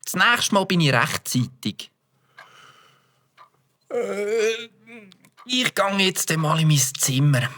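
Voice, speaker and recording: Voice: medium pitch, sing-song, takes it slowly, long pauses, sharp tone, heavily acted. An adult voicing a young Swiss German boy who stammers with fillers, in a children's audio drama. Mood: sheepish. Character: young boy, mischievous but sheepish. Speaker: child character.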